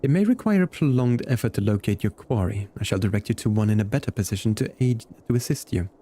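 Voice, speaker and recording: Man reading as a grave, mellow fantasy lord. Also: lowish voice, expressive, fast talker. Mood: formal, helpful. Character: formal, grave medieval-fantasy lord in a video game. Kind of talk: reading game dialogue aloud in character.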